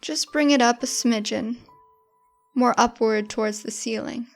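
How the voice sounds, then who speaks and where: A woman leads a guided meditation at a very unhurried pace. Her voice sits fairly high, with a touch of gravelly fry at times. She speaks in a gentle, informal, encouraging way over music.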